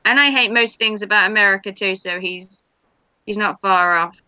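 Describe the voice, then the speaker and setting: An English woman with a bright, forceful voice, joking and teasing through a relaxed chat. The sound is dry and a little dull, as if from a modest home mic. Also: mid-range voice, moderate pace, clear, resonant tone, no echo. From England, Norfolk. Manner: teasing, jokey banter. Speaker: vlogger hosting a live stream. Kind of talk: casual chat.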